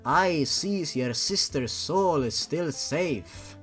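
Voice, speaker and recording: Mystical, welcoming female priestess, played by a man, heavy stereotyped accent, animated storybook delivery. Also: fairly high pitch, drawn-out words, faint music behind, exaggerated voice. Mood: knowing, welcoming. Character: warm, knowing young voodoo priestess with stereotyped accent. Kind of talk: storybook character reading.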